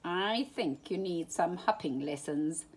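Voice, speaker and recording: A woman's voice: on the low side, measured pace, slightly rough. A woman voicing an eager, blunt young male rabbit in a children's storybook, exclaiming emphatically. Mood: encouraging.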